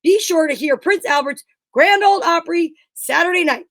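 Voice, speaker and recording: A woman's voice: extremely high pitch, normal speed, a bit bright, dry recording. A woman co-hosting an online show, chatting casually and reading vintage radio advertising copy. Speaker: co-host reading old radio show scripts.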